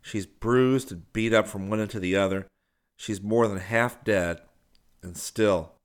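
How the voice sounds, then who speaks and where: Man as a brash, deep-voiced hero. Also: a little slow, smooth, lightly acted. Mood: admiring. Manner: slangy, emphatic. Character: brash, hearty young scientist hero, slangy and warmhearted. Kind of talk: audiobook character dialogue.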